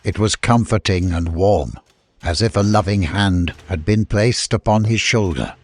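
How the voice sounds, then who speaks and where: A man's voice: lowish voice, measured pace, no echo. A man reading a dramatic scripted adventure story as an audiobook narrator, with faint background noise.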